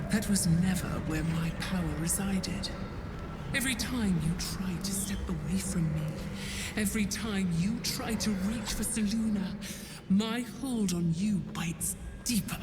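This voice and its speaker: A woman playing a cold, menacing dark goddess in a video game, taunting in grand, deliberate speech. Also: mid-range voice, natural voice. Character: cold, cruel, menacing dark goddess, gloating over victims. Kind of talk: video game dramatic acting. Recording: heavy echo, loud music underneath. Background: loud dramatic game music.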